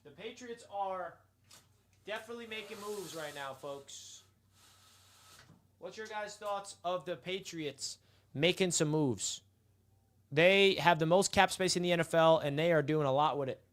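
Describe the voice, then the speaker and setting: A man's voice: high voice, a little slow, long pauses, a bit bright. A man hosting a casual live sports stream, talking straight to his chat in a hyped, chatty way. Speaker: livestream host. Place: outdoors in the sun.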